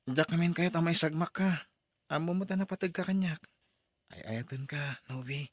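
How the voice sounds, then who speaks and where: A fairly high, dry-recorded male voice from an actor plays a patient young suitor in a radio drama. He is gentle and sincere, and the man speaks with an Ilocano accent. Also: moderate pace, no echo, close to an everyday voice.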